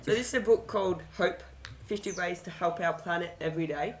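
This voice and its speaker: A man's voice: high voice, fairly quick, slight background noise. A male podcast co-host speaking in casual chat.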